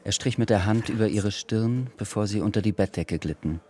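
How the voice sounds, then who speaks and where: A man narrating a literary radio drama in a measured, sober scripted style. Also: lowish voice, a crowd in the background.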